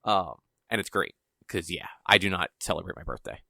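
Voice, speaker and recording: A man's voice: low-pitched, lively intonation, fairly quick, vocal fry, pushed and bright, no echo. A man co-hosting a podcast in casual chat, matter-of-fact with a dry, teasing manner. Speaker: podcast co-host.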